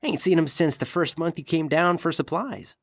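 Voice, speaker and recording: A man's voice: a little high, normal speed, no echo. A man playing a chatty, curious Old West shopkeeper in an audio drama, folksy and exclaiming, with a rural American accent. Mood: surprised, curious. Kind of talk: western audio drama acting.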